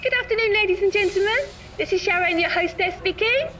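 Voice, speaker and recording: An actress playing a chirpy, officious coach hostess in a comedy audio play, making sing-song announcements. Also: female voice, very high-pitched, quick pace, pushed and bright, recorded from a distance, soft background music, rough recording. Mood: chirpy, formal.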